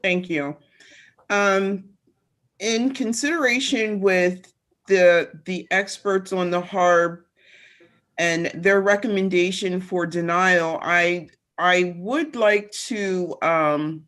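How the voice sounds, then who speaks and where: A woman city councilor on a video call who asks questions slowly and carefully, pausing often. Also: lowish voice, a bit bright. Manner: slow and careful, with pauses and fillers. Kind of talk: meeting discussion.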